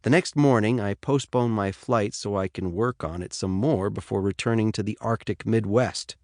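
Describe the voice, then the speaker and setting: A man's voice: fairly low pitch, moderate pace, a little mellow, dry recording. An American man reading first-person fiction as an audiobook narrator, in a studio. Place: dry studio.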